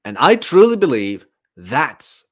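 A man's voice: on the high side, very animated, slow pace. A man in his thirties giving a casual, informal livestream monologue as a fitness coach, rambling and emphatic. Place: small room at home, indoors.

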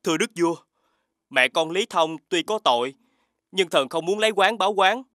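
A man voicing an honest, forgiving young hero in an animated fairy tale, speaking in an earnest, upright way. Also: high voice, normal speed, dry recording. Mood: calm, resolute. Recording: loud music behind the voice.

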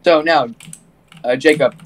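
A man's voice: on the high side, unhurried, slight background noise. A young man hosting a game session with friends, explaining things with hesitant restarts. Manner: hesitant, stumbles over words while explaining. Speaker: streamer and builder. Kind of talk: casual gaming chat.

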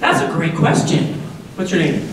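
A woman in her forties with a deep voice, an upbeat and chatty speaker who keeps asking the students questions. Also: normal speed, slight background noise. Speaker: guest speaker at a school assembly. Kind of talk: lively talk to students. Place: large school auditorium. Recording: echoey auditorium from far off.

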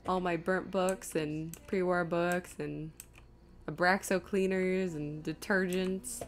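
A woman's voice: on the low side, fairly even intonation, slow pace, slight background noise. A woman streamer giving casual live gameplay commentary, chattily thinking aloud to herself and her viewers. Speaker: video game streamer.

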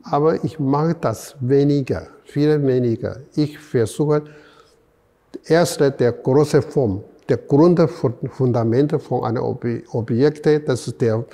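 Slow, gravelly older man, halting. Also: mid-range voice, dark tone, long reverb tail. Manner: halting, searching for words, many fillers. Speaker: artist guest. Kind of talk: halting interview answers. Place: museum gallery room.

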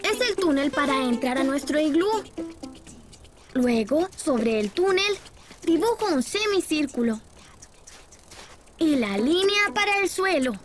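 A woman's voice: sits high, expressive, measured pace, long pauses, loud music behind the voice. A woman voicing a cheerful, helpful little boy in a preschool cartoon, explaining things step by step in a friendly way. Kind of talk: Spanish-dubbed preschool cartoon acting.

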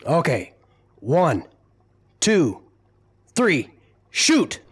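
A determined, urgent male leader acted by a man in a sci-fi audio drama. His voice is on the high side as he rallies his group. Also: wildly swooping pitch, extremely slow, breathy edge, heavily acted. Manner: rallying, urgent. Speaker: voice actor.

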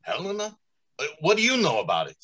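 Radio play: man as stern, flustered factory boss, slightly high, animated, halting and dramatic. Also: slightly rough, heavily acted. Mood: stern, bitter. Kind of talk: old-time radio drama acting. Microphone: video call.

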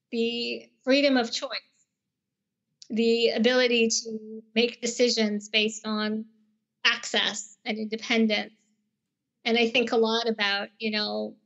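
Young woman on a dull video call. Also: on the high side. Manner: relaxed, with many fillers. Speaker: panelist. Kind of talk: casual panel talk. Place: home room by window, remote. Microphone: earphone mic on video call.

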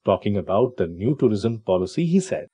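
A man narrating a news report as a voice-over in a measured announcing delivery. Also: fairly low pitch, big pitch swings, warm tone, breathy edge, no room sound.

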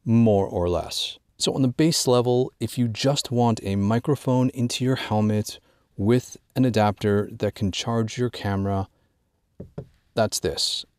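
A man presenting an informal instructional product walkthrough, drawing out key words in a measured way for emphasis. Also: fairly low pitch, a little mellow, clear, resonant tone, no echo, studio quality. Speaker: gear tutorial presenter.

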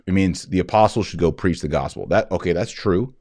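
A man in his forties reading scripture and explaining it in an earnest, emphatic way with reflective pauses. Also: low voice, a little mellow, no room sound, studio quality. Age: 40s. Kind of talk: scripture reading with devotional commentary. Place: indoors, wood-panelled office, seated at a desk.

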